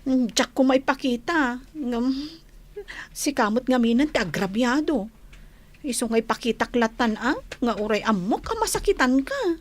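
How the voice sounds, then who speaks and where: A woman's voice: fairly high pitch, lively intonation, moderate pace. A woman playing a concerned, gossipy friend in a radio drama, with an Ilocano accent. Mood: concerned, cautious. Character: concerned, gossipy female friend breaking bad news. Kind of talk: Filipino radio drama acting.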